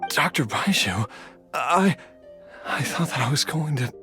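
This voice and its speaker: A weary, slow-spoken family man. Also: on the high side, expressive, bright, forceful tone, soft background music. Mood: dazed, confused. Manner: halting, sighing, emotional delivery. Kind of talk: dramatic video game character acting.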